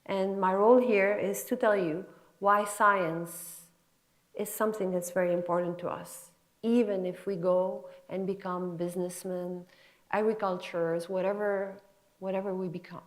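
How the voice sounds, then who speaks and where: A woman's voice: medium pitch, fairly even intonation, moderate pace. A middle-aged woman gives a motivational lecture to students in an emphatic, conversational, encouraging way. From Lebanon and France. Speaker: physician scientist giving a guest lecture.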